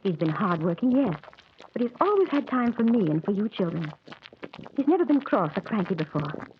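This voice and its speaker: A woman's voice: mid-range voice, sing-song, brisk, close to an everyday voice. An actress playing a worried middle-aged wife and mother who pleads with her husband, in 1940s radio drama style. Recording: muffled old radio recording with faint hiss.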